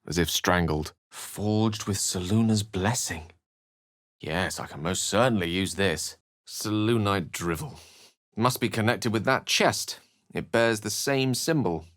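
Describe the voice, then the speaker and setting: A learned wizard from a fantasy game, lowish dry sound, articulate, kinda theatrical and wry. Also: male voice, a bit bright, dry recording. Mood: grave, reflective, then wry, assured. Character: learned, wry, eloquent male human wizard companion. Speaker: video game voice actor. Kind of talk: fantasy game character lines and narration.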